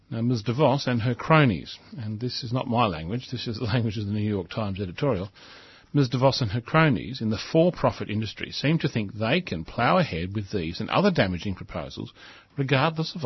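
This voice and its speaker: A man's voice: mid-range voice, slightly rough, dry recording, highs cut off. A man hosting a talk show, reading out a newspaper editorial and adding his own earnest, emphatic comments. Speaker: radio host reading an editorial.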